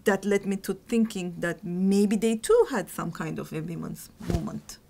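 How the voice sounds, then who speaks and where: A middle-aged woman historian from Turkey, speaking thoughtfully in an interview and often pausing to find her words. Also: on the low side, vocal fry, noisy background. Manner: thoughtful, searching for words, frequent restarts. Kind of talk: reflective interview answers.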